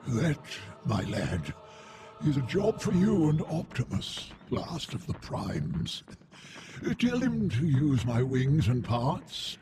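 A man's voice: medium pitch, sing-song, takes it slowly, very noisy. A man voicing a weary, sardonic old robot warrior in a video game, with a wry, grumbling, fatherly manner. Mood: weary, solemn. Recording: loud music behind.

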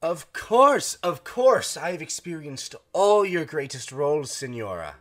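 A man voicing a flattering male theater manager who speaks in a courtly, ingratiating way. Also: fairly high pitch, measured pace, pitched above the performer's own voice.

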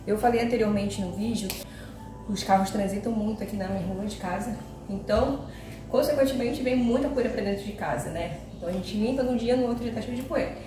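A woman's voice: medium pitch, noisy background. A young woman chatting to her viewers while she cleans at home, stopping now and then to rest. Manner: chatty, addresses viewers directly, pauses to rest while working. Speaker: home vlogger. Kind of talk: casual cleaning vlog narration. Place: large bare tiled dining room and kitchen at home, indoors. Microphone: camera across the room. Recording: echoey room, heard from a distance.

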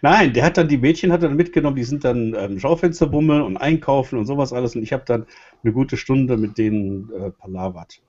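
A man's voice: mid-range voice, brisk, a little mellow. A man co-hosting an online talk show, speaking in a loose, chatty way with restarts. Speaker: co-host. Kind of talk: casual spoken commentary. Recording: dull, lo-fi sound.

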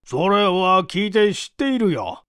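A man's voice: high voice, very animated, a little slow, fairly bright tone, smooth, dry recording, studio quality, full character voice. Casting: man as indignant, pompous company boss for storybook drama; raised, swinging, declamatory delivery. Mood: gruff surprise. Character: company president, authoritative, indignant older businessman.

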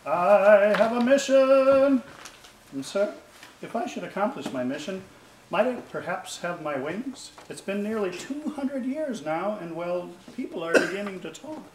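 A man's voice: sits high, lively intonation, measured pace, a little hiss or hum. Man as Clarence, meek echoey angel. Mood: meek, hopeful. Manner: hesitant, deferential. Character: meek, eager elderly male angel without wings. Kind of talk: staged 1940s radio play acting. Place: theater stage. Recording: echoey stage, off from the mic.

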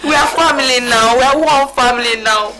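A woman's voice: sits high, animated, unhurried, crowd noise. An actress playing a forgiving woman in an emotional film drama, pleading in short, insistently repeated phrases. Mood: tearful, insistent. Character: tearful forgiving woman comforting guests in her home. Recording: clearly noisy location sound.

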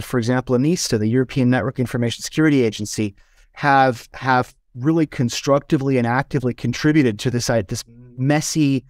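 Swiss male podcast host, mid pitch, halting restarts, dry close mic. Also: a little mellow, no echo. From Switzerland. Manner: hesitant, restarts and stacks up phrases. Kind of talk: conversational interview.

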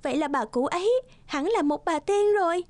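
A woman's voice: very high voice, expressive, moderate pace, darkish tone, no echo. A woman voicing a sweet, meek, kind-hearted young girl in a cartoon, polite and plaintive. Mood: amazed, grateful.

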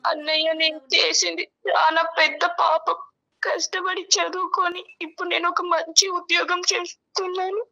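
A woman's voice: very high voice, little pitch movement, unhurried, vocal fry, sharp tone. A young woman caller, tearful and pleading emotionally over the phone. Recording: dull phone call sound.

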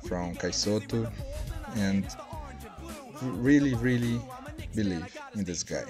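Slow Brazilian man in halting English. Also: fairly low pitch, music in the background. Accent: Brazilian Portuguese. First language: Portuguese. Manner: halting non-native English with many fillers and repeated words. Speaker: channel host. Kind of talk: casual vlog address to viewers. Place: small indoor home studio. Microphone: headset.

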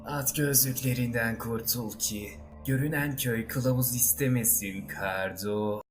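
A man's voice: medium pitch, steady tone, unhurried, soft background music, natural voice. A man voicing a solemn, pompous cartoon clone who speaks in proverbs. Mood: sententious. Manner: sententious, pompous. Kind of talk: animated comedy dub in Turkish.

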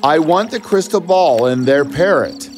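An eager, bold young cartoon hero, acted out by a man. His voice is high and very animated, earnest and full of exclamation, with music clearly behind it. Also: measured pace. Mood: determined. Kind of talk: animated fairy tale dialogue.

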